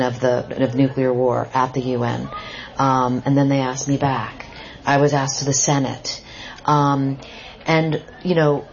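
A woman's voice: very deep voice, a little mellow. A woman author and journalist speaking at a bookstore event, earnest and reflective, often restarting her sentences. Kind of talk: book talk and audience Q&A. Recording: muffled event recording.

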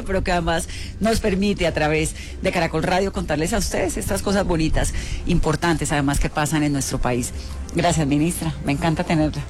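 A woman's voice: on the low side, darkish tone. A woman, interviewing guests on the radio in an effusive, gushing way.

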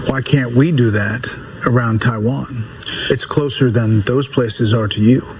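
A man's voice: mid-range voice, steady tone, unhurried, a little mellow, husky, poor audio. A man, asking questions as the host of a news interview.

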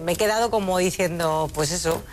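A woman's voice: lowish voice, brisk, slight background noise. A woman sports journalist giving a spontaneous opinion, emphatic but searching for her words.

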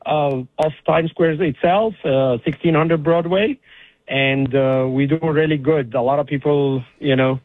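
A man from Egypt who owns an ice cream shop, speaking politely with many pauses over the phone as a caller. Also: fairly high pitch, fairly even intonation, dry recording. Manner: polite, hesitant with fillers. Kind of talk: phone interview. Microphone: phone line. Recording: tinny phone line.

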